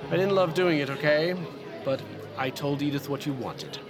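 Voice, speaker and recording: An actor playing a reluctant, blunt senator with a guilty streak in an audio comedy. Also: male voice, on the high side, moderate pace, over music, lightly acted. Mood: uneasy, guilty.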